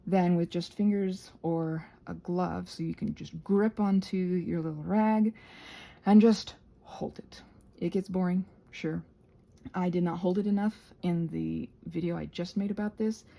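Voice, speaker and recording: A woman hosting a casual, rambling craft tutorial in a halting, chatty way with playful, self-deprecating asides. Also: fairly low pitch, vocal fry, warm tone.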